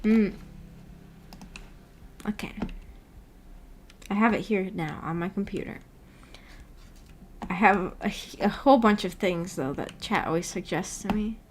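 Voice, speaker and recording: A woman's voice: mid-range voice, unhurried, long pauses, a little hiss or hum. A woman hosting a livestream, chatting casually and reading out viewer comments in a giggly, chatty way. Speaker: streamer and host.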